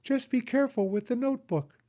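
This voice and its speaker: A man voicing an excitable, hooting owl in a storybook reading. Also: a little fast, dull audio. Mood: excited. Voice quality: high, hooting.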